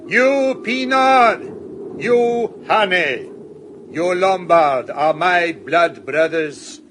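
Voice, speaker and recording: A man's voice: sits high, extremely slow, fairly bright tone, a little hiss or hum, heavily acted. An actor in a radio drama playing a proud older man who speaks solemnly and commandingly to his sworn friends.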